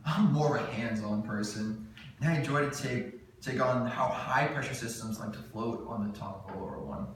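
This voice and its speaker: A man's voice: mid-range voice, normal speed, lightly acted. Brief: man reading cocky late-twenties charmer, smug come-ons, roomy distant sound. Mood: flirty. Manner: flirtatious, smug. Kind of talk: table read. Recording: echoey room, mic far off, faint noise.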